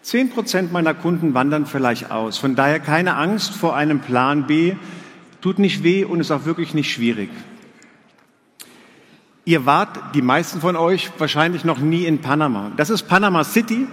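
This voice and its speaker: A man's voice: a little high, expressive, normal speed, a bit bright. A middle-aged man from Germany giving a sales presentation to an audience as a guest speaker. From Frankfurt, Germany. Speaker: guest speaker, investment advisor. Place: large hall, on stage. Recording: long hall reverb.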